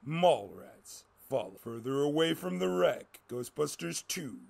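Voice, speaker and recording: A man's voice: on the high side, animated, a little slow, creaky, a little mellow. A man reading a scripted story as a dramatic narrator.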